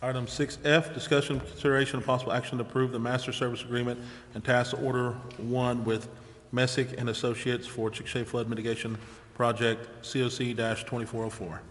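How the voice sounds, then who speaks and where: A man's voice: medium pitch, little pitch movement, a little fast, a bit bright. A man presiding over a council meeting, formally reading out agenda items and procedure. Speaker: presiding chair of city council. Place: indoor city council chamber. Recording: echoey council chamber with faint noise.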